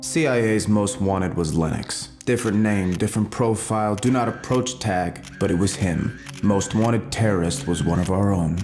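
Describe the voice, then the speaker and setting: A low male voice of an actor as a guarded, weary soldier under interrogation in a video game. He speaks in terse, flat, defensive statements, with music clearly behind him. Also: moderate pace, close to an everyday voice. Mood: matter-of-fact. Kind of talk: dramatic game cutscene acting.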